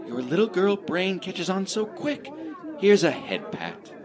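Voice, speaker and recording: A man's voice: high-pitched, big pitch swings, unhurried, crowd noise. A man playing a smug, condescending small-town boyfriend, cheerfully patronizing, in a satirical radio play.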